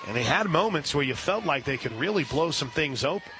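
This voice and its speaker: An American man calling live softball play-by-play on the radio from an outdoor ballpark. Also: on the high side, lively intonation, fairly quick, music in the background, a crowd in the background. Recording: loud outdoor ballpark ambience.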